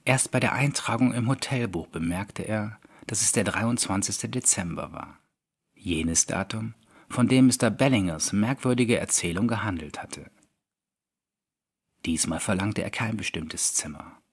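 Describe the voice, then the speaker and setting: A man's voice: on the low side, a little slow, husky. A man narrating a scripted horror story as an audiobook reader. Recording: background music under the voice. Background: music.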